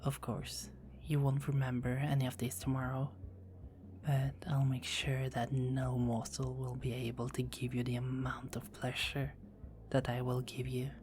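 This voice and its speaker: A seductive, dominant vampire woman, as a female ASMR artist plays her, whispers her teasing lines close and slowly. Her voice sits very low and dark, with a mellow, unhurried drawl. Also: monotone, slight background noise. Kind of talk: ASMR roleplay.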